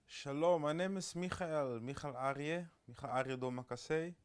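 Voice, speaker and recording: A man from the Netherlands introduces himself in an interview in a friendly, explanatory way. His voice is smooth and clear. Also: on the high side, normal speed. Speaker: student. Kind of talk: interview answers.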